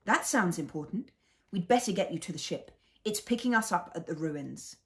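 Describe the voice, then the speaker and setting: A woman's voice: on the low side, lively intonation, moderate pace, fairly bright tone. A woman voicing an eager, loyal, earnest droid in a children's storybook. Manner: exclamatory, earnest.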